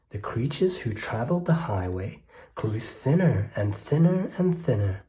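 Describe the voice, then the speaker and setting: A storyteller reading a rhyming children's picture book aloud with rhythmic, expressive delivery. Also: mid-range voice. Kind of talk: children's read-aloud narration.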